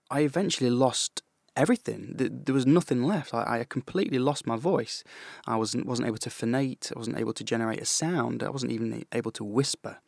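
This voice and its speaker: A man hosting his own podcast as a vocal coach, explaining things calmly with frequent fillers and restarts. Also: medium pitch, no echo, clean studio sound. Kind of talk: solo educational podcast talk.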